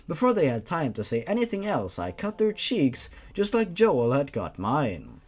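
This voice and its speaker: A man's voice: fairly high pitch, huge pitch swings, normal speed. A man hosting a dramatic read-aloud of a horror story as its narrator. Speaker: host reading a fan horror story aloud.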